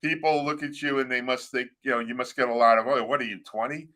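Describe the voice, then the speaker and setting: Man with a bright, sharp voice. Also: mid-range voice, steady tone, fast talker. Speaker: co-host. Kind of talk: casual interview chat.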